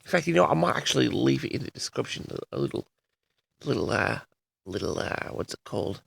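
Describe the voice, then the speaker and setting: A man with a tired, raspy voice, chatting casually and loosely to his audience with many restarts. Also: medium pitch, very animated, a little fast, a bit bright, a little hiss or hum. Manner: rambling, repeats and restarts sentences. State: tired, raspy from a late night. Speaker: audio log host. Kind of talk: casual rambling chat to audience.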